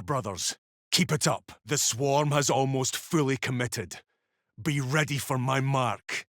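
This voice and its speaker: An actor playing a stern, commanding military captain in a science fiction video game, speaking in a clipped, formal, authoritative way. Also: male voice, mid-range voice, a bit bright, no room sound, studio quality, natural voice. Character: stern, commanding male military captain of armored space soldiers. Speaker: mission briefing officer. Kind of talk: scripted video game voice acting.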